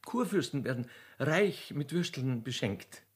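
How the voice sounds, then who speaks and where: A man's voice: mid-range voice, lively intonation, measured pace, voice pushed up, lightly acted. A man voicing a naive young Austrian country girl, chatting in simple, hesitant dialect in a comic radio play. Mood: naive wonder.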